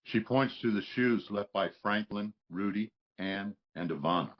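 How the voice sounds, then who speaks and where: A man's voice: fairly low pitch, moderate pace, lo-fi sound. A man reading stage directions as the narrator of a script, in scripted narration style.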